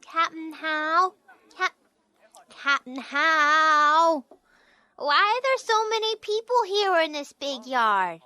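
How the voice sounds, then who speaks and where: A woman's voice: high-pitched, animated, takes it slowly, sharp tone, no echo, full character voice. A woman playing an innocent, curious little child in an audio drama, with a small, hesitant voice. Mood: timid, curious.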